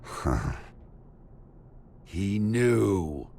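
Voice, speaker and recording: An actor playing a gruff, cynical, blunt fighter with a gravelly voice in an anime game cutscene. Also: male voice, on the low side, very animated, very slow, warm tone, slight background noise, heavily acted. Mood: gruff, pondering. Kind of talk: English-dubbed anime game cutscene acting.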